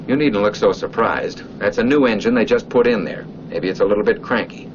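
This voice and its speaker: A male actor as a stern flight instructor with a fairly low voice, needling and directing a trainee in a 1940s military training film. The old soundtrack is muffled, with clear noise behind the voice. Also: a little fast, lightly acted. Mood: chiding. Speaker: off-screen narrator instructing a trainee.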